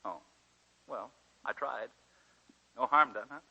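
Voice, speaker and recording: Very bright, ardent young American suitor. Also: male voice, mid-range voice, slow, gravelly, husky, heavily acted. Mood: teasing. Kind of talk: 1940s radio drama acting. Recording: dull vintage 1940s radio recording.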